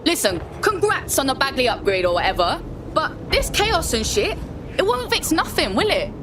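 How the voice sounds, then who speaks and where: An actress playing a brash, defiant young hacker vigilante in a video game, with a British accent and blunt, slangy speech. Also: female voice, high voice, sing-song, moderate pace, background noise. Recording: music under the voice.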